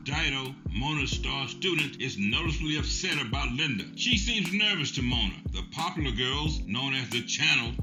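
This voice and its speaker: A man giving scripted narration in an even, matter-of-fact way. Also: medium pitch. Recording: dull lo-fi, faint music.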